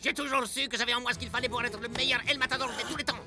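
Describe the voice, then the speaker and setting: An actor playing a gushing, overexcited fan in a cartoon dub. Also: male voice, very high voice, fairly even intonation, very fast, over music. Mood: thrilled. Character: gushing, overexcited male superfan. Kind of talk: French cartoon dub acting.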